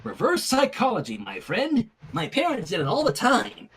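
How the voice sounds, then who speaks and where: A man's voice: extremely high pitch, huge pitch swings, normal speed, a bit bright, slightly rough, voice pushed up, full character voice. A man voicing a brash, cocky young woman with a casual, confident and slangy way of talking in a story reading. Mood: smug.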